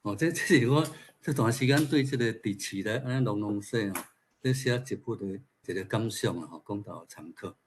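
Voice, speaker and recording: A man's voice: on the low side, a little fast, a little hiss or hum. A man with a Taiwanese accent giving an informal talk at a forum, sharing observations conversationally and posing rhetorical questions. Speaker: speaker at a literature forum.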